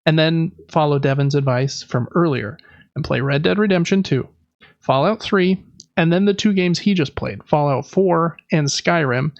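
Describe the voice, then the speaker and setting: A man's voice: a little high, moderate pace, no echo, dull audio. A man co-hosting a gaming podcast, chatting casually in a rambling, enthusiastic, informal way. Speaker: podcast co-host.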